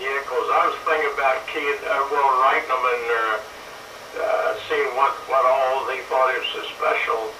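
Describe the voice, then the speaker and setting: A man speaks casually over a CB radio, rambling and halting as he goes. His voice comes through distant and echoey, with clear noise over the transmission. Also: medium pitch, flat delivery. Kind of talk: casual two-way radio chat.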